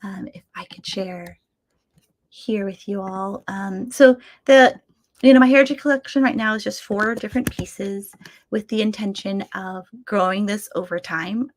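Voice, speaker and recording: A woman's voice: medium pitch, dry recording. A Vietnamese American woman speaking as the guest artist in a webinar, talking reflectively with many fillers and restarts, heard over a video call. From United States. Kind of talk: casual webinar talk.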